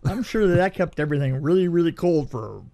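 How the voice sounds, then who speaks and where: A man's voice: a little high, fast. A middle-aged man telling casual stories as a guest, often repeating phrases as he goes. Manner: animated retelling with repeated phrases and acted-out quotes. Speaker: film effects veteran, podcast guest. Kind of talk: casual anecdotal storytelling.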